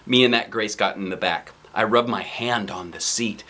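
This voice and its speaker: A man reading a children's book aloud as a dad, with playful, expressive, theatrical delivery. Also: on the low side, normal speed. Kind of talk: animated children's storybook narration.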